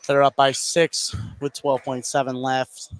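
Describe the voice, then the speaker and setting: An American man works as color commentator at a live basketball game. He speaks conversationally from courtside, in a medium-pitched voice. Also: monotone, a little slow, clear, resonant tone.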